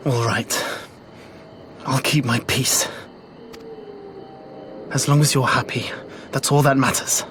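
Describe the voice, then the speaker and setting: A man's voice: mid-range voice, steady tone, slow, pauses often, background noise, natural voice. An actor playing an aggrieved young male villager in a video game, blunt and emotional. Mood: resigned.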